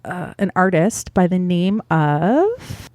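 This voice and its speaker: A woman's voice: lowish voice, very animated, takes it slowly, dark tone, no room sound, clean studio sound. A woman co-hosting a podcast, explaining comic book history in a chatty way with fillers and small laughs. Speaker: podcast co-host. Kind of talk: casual explanatory podcast chat.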